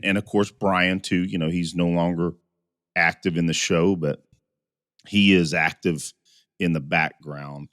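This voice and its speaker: A man's voice: very deep voice, a bit bright, no echo, studio quality. A man co-hosting a podcast in casual chat, his voice still scratchy from a recent cold, often repeating and restarting words. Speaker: podcast co-host.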